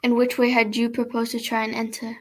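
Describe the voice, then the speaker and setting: A woman's voice: fairly high pitch, completely flat delivery, a little fast, close to an everyday voice. An actress playing a formal, probing female prefect questioning a witness in a radio drama, controlled and inquisitive.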